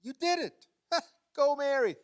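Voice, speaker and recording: A man's voice: very high voice, lively intonation, unhurried, dry recording, studio quality. An actor in a radio play playing an exasperated man who coaxes and explains insistently, often stammering. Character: exasperated man coaxing a woman through a playground basketball game.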